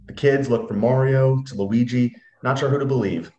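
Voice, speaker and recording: A man's voice: medium pitch, normal speed, warm tone, highs cut off. A young man reading screenplay stage directions aloud as narrator on a video call. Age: young adult. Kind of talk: dramatic screenplay read-aloud. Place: room at home, on a video call. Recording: roomy, echoey video-call audio.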